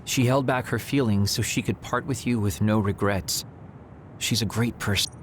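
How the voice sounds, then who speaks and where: A man playing an expressive, forthright young soldier in a video game. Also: lowish voice, normal speed, slight background noise, close to an everyday voice. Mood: consoling.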